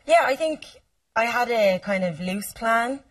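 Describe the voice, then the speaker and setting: A young Irish woman with a deep, raspy voice, a singer giving short answers and small laughs in a casual radio interview. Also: lively intonation, measured pace, dry recording. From Ireland. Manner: short replies, shy laughs. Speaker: singer guest. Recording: distant mic in a dry studio.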